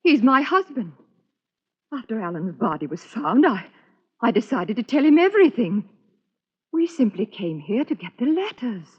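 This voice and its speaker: An actress playing an earnest, polite doctor's wife in a vintage radio thriller, on an old radio recording. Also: female voice, a little high, very animated, normal speed, breathy edge, big-room sound, dull audio. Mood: earnest, anxious. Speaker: radio drama actor. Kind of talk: 1940s radio thriller acting.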